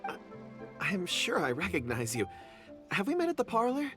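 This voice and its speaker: A man's voice: high-pitched, huge pitch swings, moderate pace. A chatty scatterbrained young guy. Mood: cheerful. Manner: rambling and easygoing. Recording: game dialogue over music.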